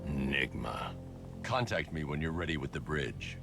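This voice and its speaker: Stern masked vigilante, extremely deep voice, clipped terse lines, game music behind. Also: male voice, lively intonation, normal speed, gravelly, full character voice.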